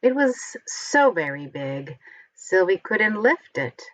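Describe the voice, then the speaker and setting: A woman reading a storybook aloud to young children, expressively and with deliberate, careful enunciation. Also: medium pitch, big pitch swings, a bit bright, no echo, poor audio. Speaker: storybook reader.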